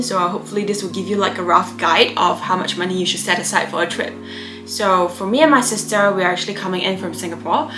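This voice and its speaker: Young woman, vlogger, chatty and explanatory, fillers, echoey room, music bed underneath. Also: medium pitch. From Malaysia. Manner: chatty, explanatory, frequent "um" and "you know". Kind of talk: casual step-by-step advice. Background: background music.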